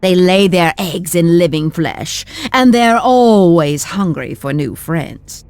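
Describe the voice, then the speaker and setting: An actress playing a haughty, cruel mistress of the mines in a video game, imperious and threatening. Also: female voice, lowish voice, slow pace, a little mellow, no room sound. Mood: gloating menace. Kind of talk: video game cutscene acting. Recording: music behind.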